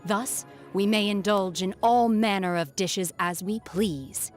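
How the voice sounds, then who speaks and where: A woman's voice: mid-range voice, faint music behind, lightly acted. A woman playing a solemn, aloof young exorcist raised by immortals, speaking formally and earnestly as a video game character. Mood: calm, reserved. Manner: measured, stoic, formal, softly earnest.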